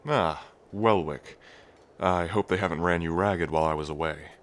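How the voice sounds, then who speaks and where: A man playing a deep, cocky dragon prince. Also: moderate pace, reverberant, natural voice. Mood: reassuring. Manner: cocky, teasing and protective. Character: brash young male dragon prince, future king. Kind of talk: ASMR roleplay.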